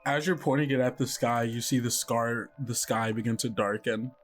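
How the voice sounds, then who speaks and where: A man's voice: mid-range voice, level tone, moderate pace, faint music behind. A young man narrating a tabletop game as game master, describing scenes with many fillers and likes. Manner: rambling descriptions full of likes and uhs.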